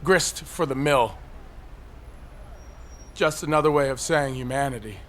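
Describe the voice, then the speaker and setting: An actor playing a bitter, paranoid loner on a high ledge in a naturalistic audio drama, speaking haltingly with defiant, cynical, profane edges. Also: male voice, a little hiss or hum, natural voice.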